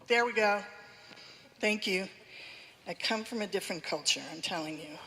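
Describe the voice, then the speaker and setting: A woman's voice: mid-range voice, normal speed, bright, forceful tone. A woman chairing a neighborhood meeting, calling motions and votes in a procedural, slightly flustered way. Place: community meeting room. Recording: reverberant meeting hall.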